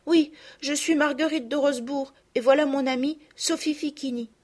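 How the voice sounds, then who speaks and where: A woman voicing an earnest, well-born young girl in an audiobook, with a high, pleading manner. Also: steady tone, moderate pace, a little mellow, lightly acted.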